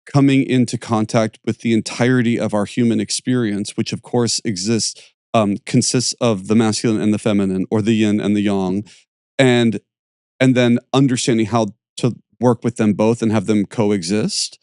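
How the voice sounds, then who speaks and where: A man with a lowish voice hosts a podcast conversation. He talks in an energetic, performative way, and the sound is close and dry. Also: moderate pace, clean tone, no echo. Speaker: podcast host and fitness teacher.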